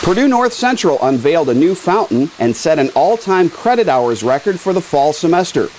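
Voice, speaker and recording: An American man reading scripted newsreel narration in a measured announcer's delivery. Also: a little high, sing-song, noisy background. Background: music.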